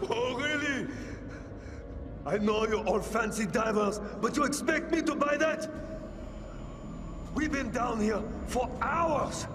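A man's voice: very high-pitched, background noise, full character voice. A frantic, paranoid gunman. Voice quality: frantic, ranting, voice breaking. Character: paranoid armed man, terrified of a mist. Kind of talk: video game cutscene acting. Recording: game audio with reverb and music.

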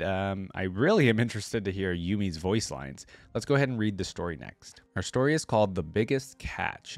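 A man's voice: lowish voice, dry recording. A young man hosting a reaction video, reading a story aloud and adding casual commentary, close on a desk microphone. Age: young adult. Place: indoor room at a streaming setup.

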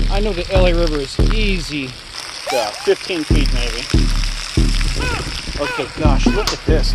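A woman's voice: sits low, rough recording. A woman narrating a casual outdoor vlog by a storm drain channel, exclaiming in disgust as she points things out. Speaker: urban explorer vlogger. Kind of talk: casual walk-and-talk narration. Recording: loud music over the voice.

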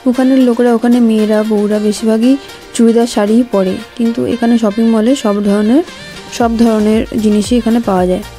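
A woman narrates a vlog in a casual voice-over. Her voice is a bit high with a mellow tone, over faint music. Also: fairly even intonation, brisk. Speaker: vlogger.

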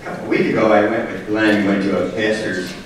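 A man's voice: lowish voice, steady tone, fast talker, crowd noise. A man emceeing a roast, telling an easygoing anecdote and introducing the next speaker in a large banquet hall. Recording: echoey hall from far off, loud room noise.

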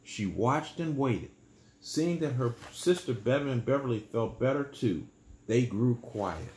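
A man in his sixties reading a children's bedtime story aloud in a small room, pausing to explain things simply and patiently. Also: medium pitch, expressive, darkish tone, echoey room, slight background noise, low-quality recording.

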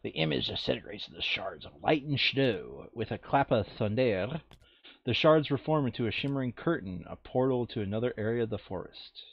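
A man's voice: mid-range voice, animated, a little fast, vocal fry, breathy edge, highs cut off. A man chatting casually through a board game, counting moves and reading out rules.

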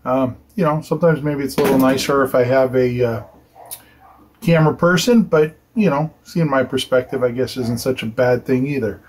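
A man's voice: mid-range voice, moderate pace, slight background noise. A man in his fifties, an architect, casually explaining a construction drawing in a chatty, informal way with lots of fillers and tag questions. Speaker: architect explaining building details. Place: small studio room with dark curtains.

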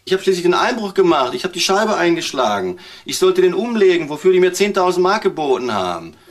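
An actor playing an edgy, street-smart burglar in a radio crime drama, talking in a blunt, wary, colloquial way. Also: male voice, high-pitched, very animated, brisk. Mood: agitated, wary. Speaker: petty criminal.

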